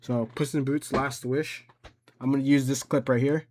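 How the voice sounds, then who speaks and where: A man presenting an informal step-by-step software tutorial, rambling a little and thinking out loud as he goes. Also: medium pitch, little pitch movement, moderate pace. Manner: informal, rambling, thinks aloud and talks to himself. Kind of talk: casual screen-recorded software tutorial.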